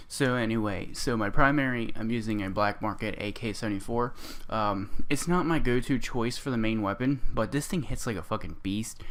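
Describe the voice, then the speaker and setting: A man with a hoarse, sore-throated voice, casually walking through his game build and rambling with lots of ums. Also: fairly low pitch, fairly bright tone. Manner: rambling, full of ums and restarts. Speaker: gaming video creator. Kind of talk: casual gameplay build walkthrough.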